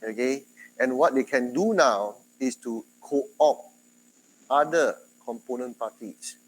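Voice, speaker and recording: Singaporean male lecturer, mid pitch, conversational classroom delivery, faint room noise. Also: slow, poor audio. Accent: Singaporean English. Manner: chatty, animated, quotes others in dialogue. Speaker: university lecturer.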